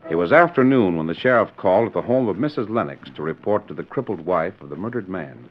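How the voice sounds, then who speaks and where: A man's voice: sits low, lively intonation, fast, loud music behind the voice. A man narrating a 1940s radio western in a formal, dramatic storytelling manner. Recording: muffled 1940s radio recording.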